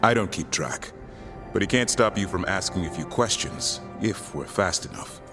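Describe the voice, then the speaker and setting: A frank warrior companion from a video game, with a very low voice. He talks plainly and drily over a clear musical backing. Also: lively intonation, moderate pace, over music. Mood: wry. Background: game score.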